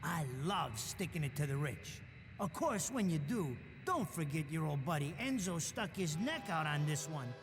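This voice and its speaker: A greedy little american hustler in a video game, high voice all over the place, slangy and excitable. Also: male voice, moderate pace. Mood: wheedling. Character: weaselly, wheedling small-time male informant, excitable and greedy. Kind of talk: video game cutscene acting. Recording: noisy, long echoing reverb.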